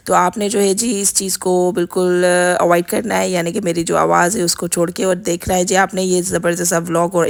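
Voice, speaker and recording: A woman in her thirties from Pakistan with a hoarse, scratchy throat, narrating her vlog in a chatty way full of fillers. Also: medium pitch. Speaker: vlogger. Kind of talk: vlog voice-over.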